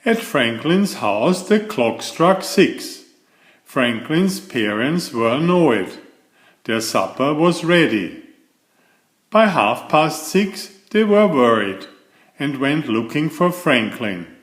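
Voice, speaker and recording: Slow, lively male storyteller in echoey room. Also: mid-range voice. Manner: expressive, deliberate, simple storybook delivery. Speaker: storyteller reading a picture book. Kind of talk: bedtime story read-aloud.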